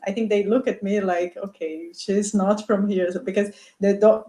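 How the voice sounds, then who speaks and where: A woman's voice: medium pitch, mellow, echoey room, rough recording. A woman doctor, speaking English earnestly on a video call panel and often repeating words as she thinks. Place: small room at home. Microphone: video call webcam.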